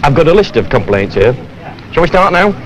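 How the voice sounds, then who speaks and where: A man's voice: fairly high pitch, big pitch swings, a little fast, a bit bright. An actor playing a fed-up British male customer with complaints in a 1970s training film. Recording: slightly noisy 1970s film soundtrack.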